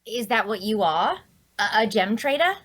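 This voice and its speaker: Woman playing a sly, husky, lively fantasy rogue. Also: medium pitch, normal speed. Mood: curious, coy. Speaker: voice actor. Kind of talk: scripted character dialogue at a table read.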